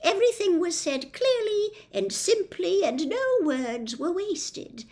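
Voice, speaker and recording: A woman voicing a pleasant little old lady witch in a storybook, with measured, genteel phrasing and a small voice. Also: extremely high pitch, lively intonation, darkish tone, pitched above the performer's own voice, full character voice. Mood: proud, reminiscing.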